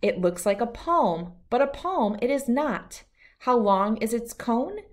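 Slow-paced woman reading a storybook. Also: fairly high pitch, expressive. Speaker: children's book reader. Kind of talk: read-aloud of a rhyming children's picture book.

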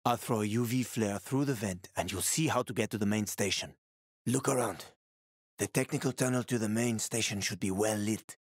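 A man's voice: lowish voice, moderate pace, breathy edge, no room sound, clean studio sound. A man playing a streetwise, wisecracking middle-aged survivor and smuggler in a video game, talking in a sly, casual, teasing way. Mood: conspiratorial, matter-of-fact. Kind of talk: video game voice acting, conversational.

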